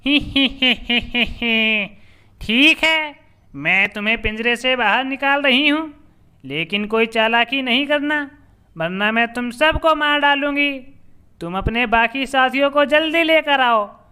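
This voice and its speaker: Cartoon witch, acted by a male narrator: scheming, gloating menace, shrill high pitch, forceful delivery. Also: smooth, full character voice. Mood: menacing glee. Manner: gloating, drawn-out threats, cackling.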